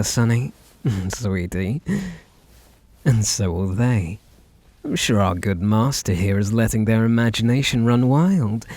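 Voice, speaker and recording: Man acting as obsessive male butler, teasing, sugary, mellow tone, intimate ASMR roleplay, faint music behind. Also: fairly low pitch, no room sound. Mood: sly, coaxing. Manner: smooth-talking, sugary endearments, teasing.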